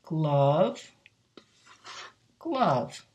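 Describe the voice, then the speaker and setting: A woman teaching English reading, walking slowly through a worksheet with drawn-out words, thinking-aloud hums and frequent praise. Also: sits low, big pitch swings, darkish tone, dull audio. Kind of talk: slow, encouraging worksheet walkthrough.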